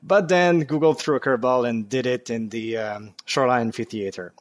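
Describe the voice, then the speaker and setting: A man in his thirties from Quebec with a French Canadian accent, a guest on a casual podcast interview who pauses often with "uh" fillers. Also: medium pitch. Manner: thoughtful, frequent "uh" fillers. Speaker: guest developer.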